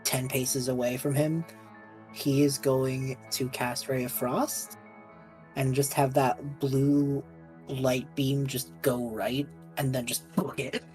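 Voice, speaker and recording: A woman's voice: a bass voice, little pitch movement, takes it slowly, warm tone, soft background music, low-quality recording. A woman playing a tabletop game, describing her character's moves in a loose, slangy, conversational way. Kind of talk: casual narration of own character's actions.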